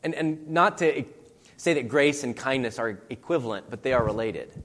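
A man's voice: medium pitch, animated, normal speed, a bit bright. A man giving a conversational sermon. He asks his listeners many rhetorical questions. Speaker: preacher. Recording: long hall reverb.

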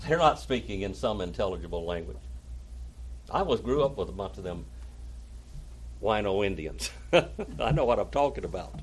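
A man's voice: lowish voice, animated, a little slow, long pauses, slight background noise, low-quality recording. A man from Oklahoma with an Oklahoma accent, teaching a Bible class in a folksy way and calling on listeners by name. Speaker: pastor.

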